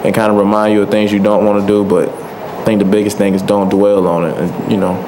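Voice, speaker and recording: A young man speaks into a table microphone at a postgame press conference. His voice sits low and stays flat, and he talks in a relaxed, soft-spoken way with many fillers. Steady hiss runs under the room. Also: brisk. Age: young adult. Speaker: college football player. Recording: noisy press room audio.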